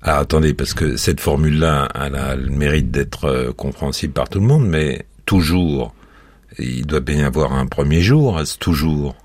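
A man's voice: a bass voice, normal speed, a little mellow, no room sound. A man hosting a radio interview, playful and digressive, pushing back on his guest. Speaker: radio host.

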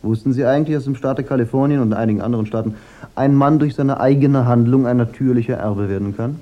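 A cool, sardonic private eye, played by a male actor with a weary confidence. He talks in a dry, measured radio-drama manner at a medium pitch. The recording is slightly dull. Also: close to an everyday voice. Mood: controlled, accusing. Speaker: detective and first-person narrator. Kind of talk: German radio crime drama acting.

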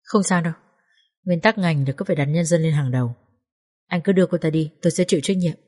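A tall, principled male security officer who speaks calmly and firmly, as a woman storyteller reads his lines. The voice stays close to the reader's own in a spoken audio story. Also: deep voice, fast talker. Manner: calm, firm, dutiful.

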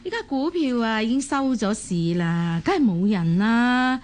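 An actress playing an exasperated but polite bank clerk with a Hong Kong Cantonese accent, officious and insistent, in a radio drama. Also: female voice, on the high side, expressive, a little slow. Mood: stern.

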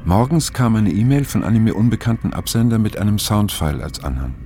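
An actor playing a brooding middle-aged diarist in an audio drama, telling his story in a sober, reflective, measured way. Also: fairly low pitch, big pitch swings, dark tone, a little hiss or hum. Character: brooding man past middle age, haunted first-person diarist. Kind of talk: literary audio drama monologue. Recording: music behind the voice. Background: music.

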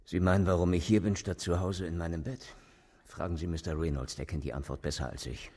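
An actor playing a domineering, curt and condescending police captain in a German radio drama. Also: male voice, deep voice, steady tone, brisk, darkish tone, husky. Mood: cold, controlled. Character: domineering, cold, foul-mouthed male police captain.

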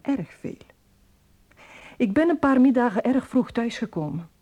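A worried young Flemish bride in a radio play. Her voice is on the high side and soft-edged, with lively intonation, as she presses her point insistently and pleadingly. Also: a little slow. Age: young adult. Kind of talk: radio drama acting.

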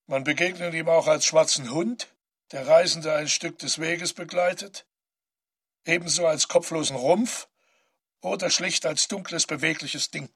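An actor playing an introspective, haunted man's inner voice in a measured, confiding audio drama monologue. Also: on the high side, a bit bright, husky, exaggerated voice. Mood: ominous.

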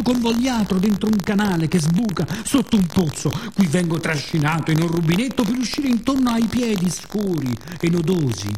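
Mellow-voiced man performing a dramatic monologue. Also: high voice, a little hiss or hum. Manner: dramatic, deliberate phrasing with pauses and repetitions. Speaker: actor performing own monologue. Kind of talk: theatrical poetic radio monologue.

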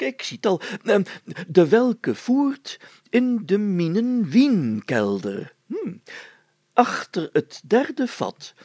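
A man voicing a self-important village mayor in a story, halting and hemming, given to solemn declaiming. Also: a little high, gravelly, darkish tone, husky, no echo, exaggerated voice. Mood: halting, puzzled. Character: pompous village mayor reading old documents aloud, self-important, deliberate.

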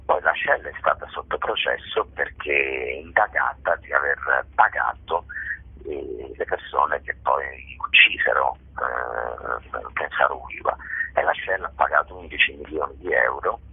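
A man's voice: rumbling low, measured pace, gravelly, slightly rough, dry recording. A man from Basilicata with a southern Italian accent, speaking emphatically as a theatre storyteller and repeating phrases for effect. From Basilicata, southern Italy. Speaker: theatre author, director and actor. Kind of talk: interview, passionate storytelling about own stage work. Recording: narrow tinny phone or radio line.

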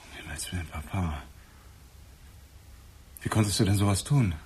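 An actor playing a calculating, self-justifying grown son in a German radio drama, measured and evasive in his reasoning. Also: low-pitched, darkish tone, slight background noise, natural voice. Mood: subdued, dismayed.

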